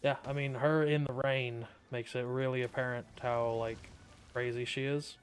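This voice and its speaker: A man's voice: mid-range voice, level tone, normal speed. Laid-back joking male streamer. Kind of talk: casual gameplay commentary. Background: game music.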